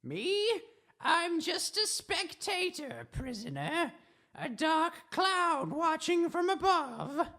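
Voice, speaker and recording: A high-pitched, mad watcher taunts from above a dungeon. The delivery is theatrical and riddling, drawn out very slowly with wild swoops and cackles, in radio drama style. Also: female voice, sing-song, sharp tone, heavily acted. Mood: gloating, taunting. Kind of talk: improvised radio drama comedy.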